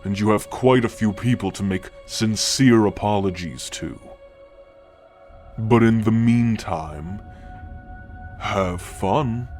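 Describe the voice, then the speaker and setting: A man voicing an ancient, godlike great-grandfather, wise and quietly commanding, speaking deliberately and affectionately in a scripted ASMR roleplay. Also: on the low side. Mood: solemn, commanding. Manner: slow, deliberate, affectionate, with sighs and soft chuckles. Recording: music under the voice. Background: background music.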